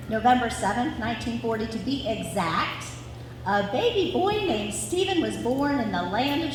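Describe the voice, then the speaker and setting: Woman reading out a written family story, medium pitch, big echoey hall, noisy background. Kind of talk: scripted storytelling read aloud to a live audience. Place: large reverberant room at a family gathering.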